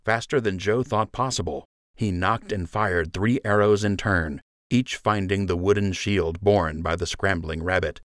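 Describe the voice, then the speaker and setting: Low-pitched male storyteller, dry sound. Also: steady tone, unhurried, a bit bright, no echo. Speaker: audiobook narrator. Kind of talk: scripted storytelling narration.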